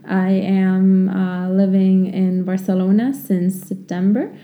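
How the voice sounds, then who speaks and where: A young woman with a Turkish accent, hosting a casual podcast lesson and talking unscripted with many pauses and fillers. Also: mid-range voice, little pitch movement, mellow. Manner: unscripted, many ums and restarts. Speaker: language teacher, podcast host.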